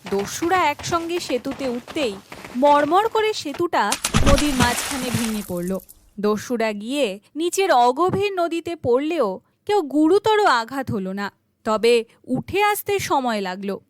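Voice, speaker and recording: A woman's voice: high-pitched, expressive, a little slow, a little hiss or hum. A woman narrating a scripted children's folk tale.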